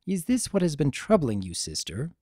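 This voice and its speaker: A man's voice: on the high side, wildly swooping pitch, normal speed, a little mellow, slightly rough, no echo, studio quality, full character voice. A man voicing a proud, formal pony princess, a concerned younger sister with archaic, emphatic speech in a dramatic story reading.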